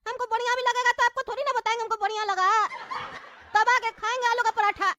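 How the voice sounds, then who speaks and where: Woman squeaking as a whiny bald cheeky man. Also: steady tone, fast, bright, forceful tone. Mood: wheedling. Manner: squeaky, wheedling, whining. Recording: noisy recording with clicks.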